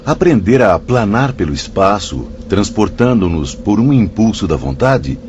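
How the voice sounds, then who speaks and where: An earnest spirit pupil, a man in radio drama. His expressive voice sits somewhat low and sounds slightly muffled. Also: unhurried, a little hiss or hum. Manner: formal, reflective. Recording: dull audio with faint ambience.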